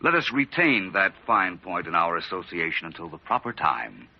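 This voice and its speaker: Urbane private detective, witty, self-assured, low husky voice, animated pitch, old radio drama, lo-fi recording. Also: male voice, moderate pace, full character voice. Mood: suave, teasing. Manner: urbane, wry, formal.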